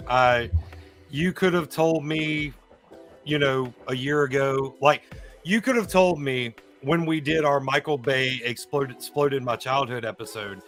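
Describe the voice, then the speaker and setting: A man with a bright, forceful voice at an average pitch, chatting casually as a podcast host. He explains in a rambling, enthusiastic way, with faint music behind him. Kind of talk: casual fan chat on a live stream.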